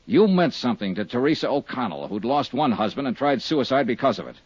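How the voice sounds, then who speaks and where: A dull, dry vintage radio recording carries a dogged American insurance investigator from a 1950s detective serial. He is hard-boiled and clipped, with a husky voice that swings in pitch as he presses his accusations. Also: very animated, fast talker, darkish tone, no echo. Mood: pressing, stern.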